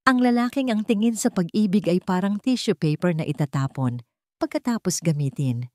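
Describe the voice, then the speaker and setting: A woman's voice: on the low side, a little mellow, no room sound, clean studio sound. A woman with a Filipino accent narrating a scripted love drama with dramatic, emphatic phrasing. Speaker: storyteller.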